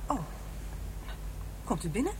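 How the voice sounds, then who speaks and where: A woman's voice: a little slow, noisy background, lightly acted. An actress playing a proud, bitter widow in her fifties, curt and formal, in a radio drama. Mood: guarded, uneasy. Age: 50s.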